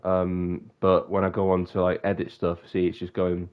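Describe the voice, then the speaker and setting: A man's voice: deep voice, monotone, normal speed, clear, resonant tone, narrow, tinny audio. A young man with a bunged-up, sniffly voice, rambling casually on a live stream and often breaking off mid-sentence. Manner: rambling, self-interrupting.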